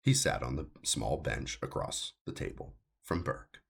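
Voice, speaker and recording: A man narrates science fiction in an extremely low voice. It is full of gravelly fry and husky grain. His reading is measured and deliberate, with lively swings in pitch for emphasis. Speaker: author reading his own story.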